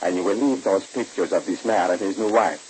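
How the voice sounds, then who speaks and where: An actor playing a sly, oily keeper of a shady house, measured and insinuating, in 1940s radio soap style. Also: male voice, sits low, darkish tone, natural voice, rough recording. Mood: sly, amused. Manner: insinuating, measured, smugly amused. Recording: hissy old radio recording.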